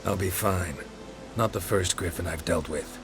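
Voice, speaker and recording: An actor playing a gruff, world-weary and terse monster hunter in a video game, speaking in a deadpan, gravelly murmur. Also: male voice, sits low, moderate pace, over music. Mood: grim, observing. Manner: deadpan, terse, clipped sentences.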